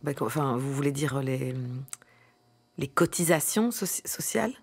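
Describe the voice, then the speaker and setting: A woman playing a meek, bewildered office employee in a radio satire, speaking timidly and haltingly. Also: low-pitched, lively intonation, breathy edge, dry recording, heavily acted. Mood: confused, hesitant. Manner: hesitant, stammering, timid. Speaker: voice actor in a radio drama. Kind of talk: satirical radio comedy dialogue, phone-call scene.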